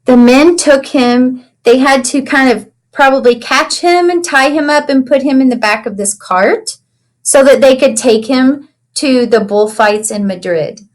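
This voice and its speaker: A woman reading a picture book aloud to children in an animated storytelling way, pausing to ask them simple questions. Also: high-pitched. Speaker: children's read-aloud teacher.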